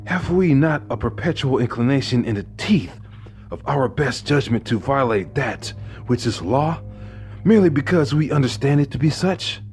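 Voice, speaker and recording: A man narrating a horror story in the first person, intense, dropping to hushed whispers and rising into anguished outbursts. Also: medium pitch, lively intonation, moderate pace, soft background music. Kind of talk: dramatic horror story reading.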